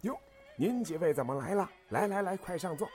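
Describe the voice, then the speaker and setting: A man's voice: high voice, lively intonation, brisk. A man playing an obliging opera troupe master in a Chinese audio drama, eager and deferential. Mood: eagerly welcoming. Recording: loud music behind the voice.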